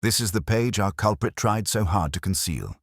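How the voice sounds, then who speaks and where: A man voicing a calm, mild police inspector who makes measured, gently pointed speeches in a detective audiobook. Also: fairly low pitch, no echo, studio quality, pitched above the performer's own voice. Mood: measured, revealing. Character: mild, calm male police inspector delivering quiet reveals.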